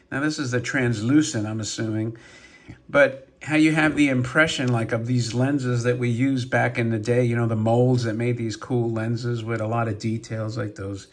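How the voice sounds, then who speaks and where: Hoarse man chatting about toys. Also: mid-range voice, fairly bright tone. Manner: chatty and rambling, many fillers and asides. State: hoarse from a cold, voice deeper. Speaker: toy robot collector and channel host. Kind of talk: casual show-and-tell vlog.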